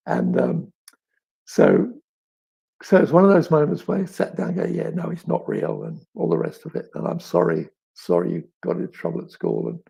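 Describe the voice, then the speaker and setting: A middle-aged man with a crackly, raspy voice, as if with a frog in his throat, chatting reflectively as an author and telling stories with thoughtful pauses and emphasis. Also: a little high, mellow. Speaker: author answering audience questions. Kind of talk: reflective live chat, storytelling.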